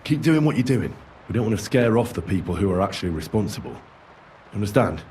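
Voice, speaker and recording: An actor playing a young medieval man calmly and firmly questioning suspects in a video game. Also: on the low side, fast, a little hiss or hum. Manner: calm, firm interrogating.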